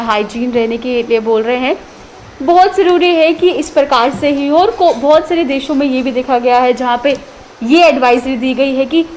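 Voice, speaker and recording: A woman hosting a TV call-in show, brisk and affirming as she moderates callers and the expert. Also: high voice, darkish tone, a little hiss or hum, poor audio.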